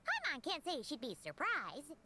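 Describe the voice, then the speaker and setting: An actress playing a tiny, cheeky fairy companion in a video game, squeaky, childlike and brash. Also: female voice, huge pitch swings, moderate pace, background noise. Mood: skeptical, brash. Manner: brash, refers to herself by name.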